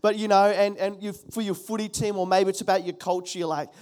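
A middle-aged pastor delivers a sermon with real emphasis, circling back to his key phrases again and again. He calls out to the congregation and asks them to respond. His voice sits a little high for a man. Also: level tone, a little fast, a little mellow.

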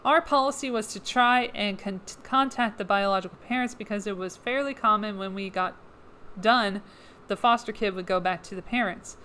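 A woman's voice: medium pitch, fairly bright tone, slight background noise, rough recording. Woman reading articles, voice wearing out. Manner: sarcastic asides between read passages. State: losing her voice. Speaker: podcast host. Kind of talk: reading news articles aloud with commentary.

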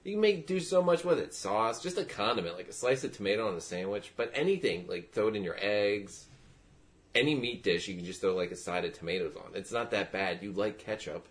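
A deep-voiced young man riffs through a loose podcast conversation with friends. His talk rambles, cracks jokes and swears freely. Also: expressive, brisk. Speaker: podcast co-host. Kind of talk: casual banter.

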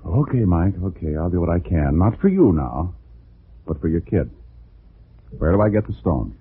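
Deadpan low-voiced radio private eye. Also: male voice, normal speed, breathy edge, exaggerated voice. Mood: steady, sympathetic. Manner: deadpan, wry. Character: wry, hard-boiled male private investigator. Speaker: lead actor and narrator. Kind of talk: 1940s radio detective acting, first-person narration. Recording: tinny 1940s radio broadcast with music.

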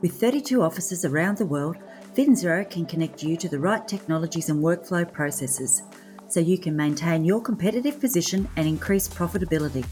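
Woman podcast host, lowish voice, measured ad reads, faint music behind. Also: a little mellow. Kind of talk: scripted ad read and interview questions.

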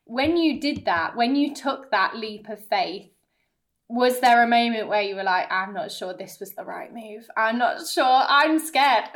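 A woman's voice: a little high, expressive, takes it slowly, a bit bright. A woman hosting a casual interview in an effusive, enthusiastic way. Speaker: podcast host.

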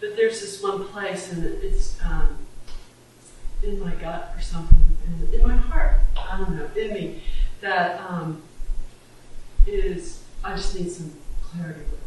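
A woman in her fifties from the audience who speaks on a handheld mic. She is tearful and halting, with many fillers. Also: fairly low pitch, animated, far from the mic. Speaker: audience member. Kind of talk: question-and-answer dialogue. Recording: echoey hall, mic held away, noticeable noise.

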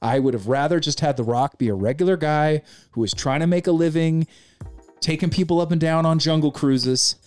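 A man's voice: a little high, brisk, clear, resonant tone, faint music behind. A man co-hosting a casual podcast, giving a rambling, opinionated movie review. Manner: opinionated, rambling, animated retelling. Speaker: podcast co-host.

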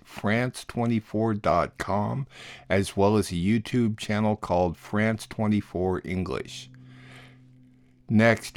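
A man's voice: lowish voice, a little mellow, no echo. A man presenting a scripted radio news summary as the program's host.

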